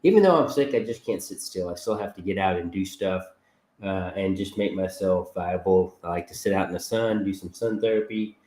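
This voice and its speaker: Stuffy-nosed man with a low voice. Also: brisk, darkish tone. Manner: blunt, chatty, rambling with fillers. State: stuffed up from a cold. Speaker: podcast host. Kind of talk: casual livestream monologue. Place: home setup with green screen. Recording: roomy echo.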